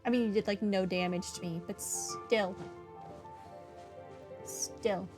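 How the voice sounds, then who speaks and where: A woman's voice: mid-range voice, fairly even intonation, slow, music in the background. A woman streamer giving casual playthrough commentary with dry, wry asides. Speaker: gaming streamer.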